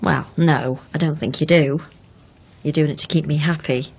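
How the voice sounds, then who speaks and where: An actress playing a caring but sharp-tongued young fiancée in a naturalistic radio drama, pointed and persuasive. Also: female voice, sits low, steady tone, moderate pace, creaky, breathy edge, exaggerated voice. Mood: gently coaxing.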